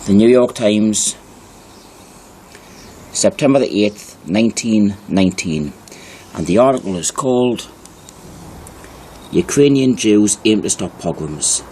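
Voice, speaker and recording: Low-voiced, mellow man narrating informally. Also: expressive, a little hiss or hum, rough recording. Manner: emphatic, repeats key phrases slowly. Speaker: narrator presenting old newspaper articles. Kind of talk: informal video commentary with read-aloud passages.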